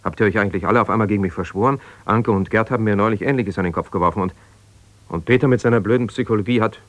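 An actor playing a wounded, self-justifying husband in a naturalistic radio drama, talking in a hesitant, searching, conversational way. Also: male voice, on the low side, rapid-fire, dry recording, close to an everyday voice. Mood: indignant, defensive. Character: defensive, wounded husband pouring out his troubles to a confidante. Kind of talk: naturalistic 1970s German radio drama monologue.

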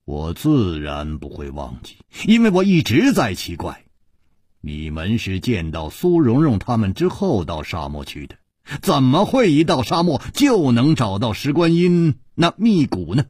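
A man acts out a calm, shrewd martial hero in a wuxia audiobook. He reasons slowly and pointedly, with faint amusement, in a husky voice that swings widely in pitch. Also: no echo, clean studio sound, full character voice. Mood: composed, probing.

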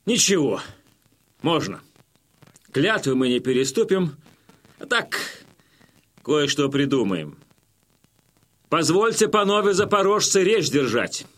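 A wily old Cossack chieftain in an audio drama. He speaks in a fairly high voice, humble on the surface, deliberate and cunning. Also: big pitch swings, full character voice. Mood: sly. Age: older. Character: modest, crafty elderly Cossack chieftain. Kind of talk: Russian audio drama acting.

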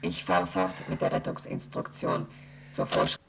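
Deep, gravelly station computer. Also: female voice, normal speed, slight background noise, full character voice. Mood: dictating. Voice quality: monotone, mechanical delivery. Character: female station computer voice, flat and monotonous. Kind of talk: 1970s radio play acting.

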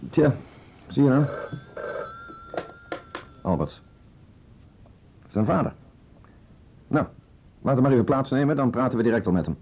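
A middle-aged man acting in a radio drama as a police commissioner, questioning in a measured, insistent, dry manner. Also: mid-range voice, gravelly, warm tone, breathy edge. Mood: pressing. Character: male police commissioner, probing, composed, pressing interrogator. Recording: dull radio play recording.

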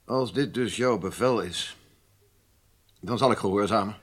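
A modest senior officer in a radio play. His voice is fairly low. He answers his superior respectfully, with some hesitation and a sense of duty. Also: unhurried, slightly rough. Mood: dutiful. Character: dutiful, modest male senior officer, taken aback. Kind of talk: radio drama acting.

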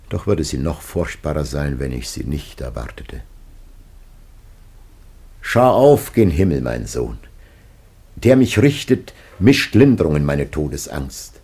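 A man's voice: extremely low pitch, darkish tone, breathy edge, exaggerated voice. An actor playing an ancient dying father, solemn, anguished and tender, in a declamatory classic German radio play. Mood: solemn foreboding, then anguished, exalted.

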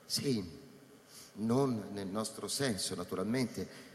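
An actor playing a sober, probing space-station colleague in a radio drama, with deliberate, halting phrases. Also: male voice, on the low side. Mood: detached. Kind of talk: theatrical radio drama acting. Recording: echoey hall reverb under loud music. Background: live electronic and flute music.

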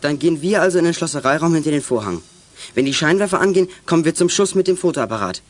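A young man acting a fast, high-voiced boy detective. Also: animated, lightly acted. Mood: eager. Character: eager, polite teenage boy detective. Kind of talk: 1980s German children's radio drama acting.

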